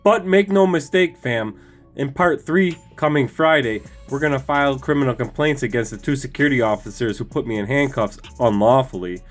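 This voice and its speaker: A man's voice: medium pitch, moderate pace. An American man hosting a police-auditing channel, giving emphatic voice-over commentary and addressing his viewers familiarly. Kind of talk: scripted-sounding voice-over commentary to subscribers. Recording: faint music under the voice. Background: music.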